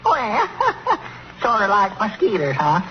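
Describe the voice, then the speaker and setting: Highish swoopy southern hick, naive and excitable, old radio comedy, muffled. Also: male voice, takes it slowly, full character voice. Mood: baffled. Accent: rural Southern US. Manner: hesitant, naive, swooping, folksy. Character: gullible, excitable married country bumpkin partner, man. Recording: muffled, noisy vintage radio recording.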